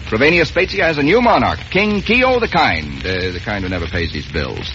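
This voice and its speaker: An actor playing a brash, impulsive young prince in an old-time radio romantic comedy, breezy and urgent. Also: male voice, fairly low pitch, big pitch swings, normal speed, slight background noise, full character voice. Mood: breezy, exasperated. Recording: dull old radio recording, loud music.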